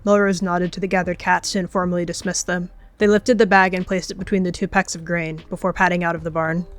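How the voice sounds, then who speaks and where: A woman's voice: fairly low pitch, little pitch movement, quick pace. A woman narrating a story in an online roleplay game, in a storytelling style.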